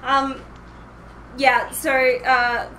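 A young woman chats as a podcast host in a giggly, casual way. Her voice is high and bright, picked up in an echoey home room. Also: level tone, drawn-out words. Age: young adult. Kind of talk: casual group chat. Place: home room with shelves and posters, indoors. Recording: roomy, noisy home recording.

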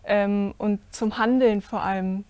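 A woman's voice: medium pitch, fairly even intonation, measured pace, darkish tone, smooth, dry recording. A teenage girl on a discussion panel, speaking earnestly and thoughtfully with frequent fillers. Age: teen. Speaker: youth panelist. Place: indoor studio set with curtains.

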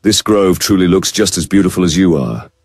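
A man voices a steady fantasy hero in a video game, protective and earnest. His voice is exceptionally deep and husky. The sound is dry, with measured, intimate delivery. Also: no echo, faint music behind. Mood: teasing.

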